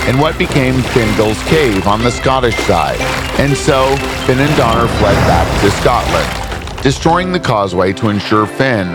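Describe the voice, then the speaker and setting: Animated male storyteller over music. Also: lowish voice. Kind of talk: scripted storybook narration.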